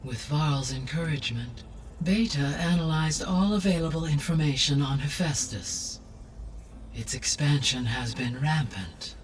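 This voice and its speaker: A man playing a calm, precise artificial intelligence in a video game, speaking in a measured, formal, analytical way. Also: on the high side, recorded from a distance, a little hiss or hum, natural voice. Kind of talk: scripted video game cutscene acting. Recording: music behind the voice.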